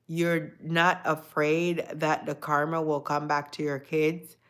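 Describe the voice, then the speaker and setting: A woman with a notably low voice hosts a casual livestream, speaking reflectively to her audience. She greets chatters by name and often repeats a phrase for emphasis. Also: fairly bright tone, clear, resonant tone. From Jamaican descent, lives in the US. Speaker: livestream host. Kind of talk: casual live chat with viewers.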